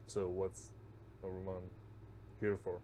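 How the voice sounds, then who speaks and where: Very deep-voiced man, terse. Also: monotone, takes it slowly, mellow, slight background noise. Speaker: player in a tabletop roleplaying game. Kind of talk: terse casual in-character roleplay.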